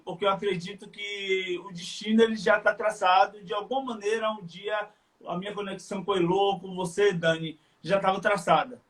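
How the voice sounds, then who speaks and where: Bahian man, high voice, sincere, halting speech full of fillers. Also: a bit bright. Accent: Bahian Brazilian Portuguese. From Salvador, Bahia, Brazil. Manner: earnest, halting with frequent fillers. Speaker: live stream guest. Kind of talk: casual chat.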